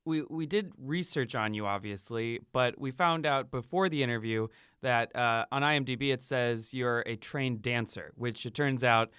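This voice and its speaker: A man's voice: mid-range voice, moderate pace, hardly any pauses, a bit bright, clean tone, no echo, clean studio sound. A man hosting a podcast, introducing and interviewing a guest in casual, jokey banter. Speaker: podcast host.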